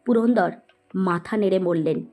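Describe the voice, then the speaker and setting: A woman's voice: medium pitch, moderate pace, a little mellow, soft background music. A woman reading scripted story narration as the narrator of an audio story.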